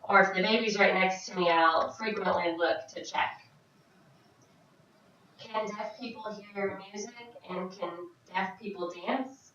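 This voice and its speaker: A woman voicing a signed question-and-answer vlog as an interpreter, speaking in short, halting phrases with frequent restarts. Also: medium pitch, fairly even intonation, a bit bright. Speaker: sign language interpreter voicing a Deaf vlogger. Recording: very echoey, distant, dull sound.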